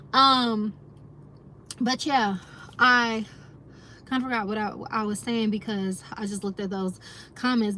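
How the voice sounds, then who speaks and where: Chatty American woman, higher voice. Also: a bit bright, slight background noise. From United States. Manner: chatty, addresses viewers as y'all, reflective. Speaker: lifestyle vlogger. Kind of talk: casual chat to viewers.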